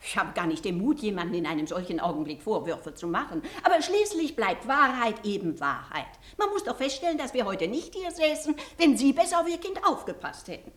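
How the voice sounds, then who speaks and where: A woman's voice: fairly high pitch, big pitch swings, brisk, hardly any pauses, fairly bright tone. An actress in a German radio play playing a sanctimonious, reproachful shopkeeper mother with a pompous, preachy manner.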